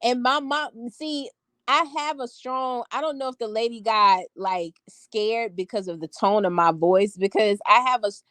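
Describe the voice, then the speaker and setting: A woman's voice: fairly high pitch, moderate pace, fairly bright tone, no echo. A woman, telling a story to live viewers in a strong, confident voice, emphatic and sassy, repeating phrases for effect. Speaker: vlogger talking to live viewers. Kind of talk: casual storytelling chat.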